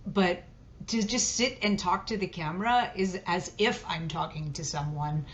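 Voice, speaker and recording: A woman's voice: lowish voice, moderate pace. A middle-aged American woman chatting casually as a podcast guest, often checking in with "right?". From suburbs of Philadelphia, United States. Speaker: podcast guest, dating coach. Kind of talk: casual conversational interview. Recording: muffled, clearly noisy connection.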